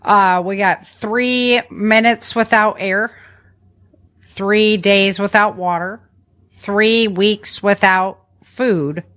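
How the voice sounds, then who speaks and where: A woman podcast host with a mid-pitched voice talks through practical survival advice. She sounds conversational and informal, working from notes while instructing the listener, and often seeks agreement as she goes. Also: fairly even intonation, very slow, a bit bright.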